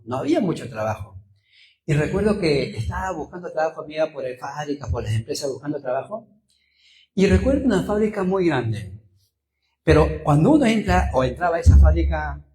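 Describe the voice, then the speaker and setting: Male preacher, Peruvian Spanish accent, soft mellow tone, emphatic repetition, rhetorical questions, storytelling sermon, echoey space. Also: on the high side. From Peru. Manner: repeats phrases for emphasis, asks rhetorical questions. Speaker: pastor preaching to a congregation. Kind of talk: sermon with personal anecdotes.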